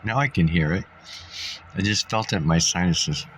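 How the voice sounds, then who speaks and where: A man's voice: low voice, normal speed, a little hiss or hum. A man vlogging in a meandering, casual monologue, congested and coughing now and then, thinking aloud with many fillers. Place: sitting in a dusty spot, maybe in a car.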